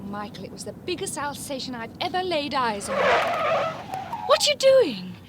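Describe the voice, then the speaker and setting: Excitable high-voiced young bride. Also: female voice, moderate pace, heavy background noise. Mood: bright, teasing. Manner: expressive, emotional. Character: young newlywed wife, spirited and excitable. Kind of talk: dramatic radio drama acting.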